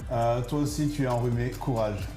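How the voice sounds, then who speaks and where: A man's voice: mid-range voice, moderate pace. A man hosting a live cooking stream, joking with the cook and reading viewer comments aloud in a playful, laughing way. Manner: playful, jokey, laughs often, talks to viewers. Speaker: livestream cooking show host. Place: home kitchen. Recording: roomy kitchen sound with background music.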